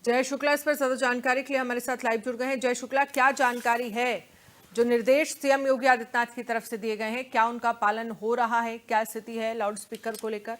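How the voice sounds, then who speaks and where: A woman's voice: fairly high pitch, flat delivery, a little fast. A woman, presenting live news and putting questions to a reporter as anchor.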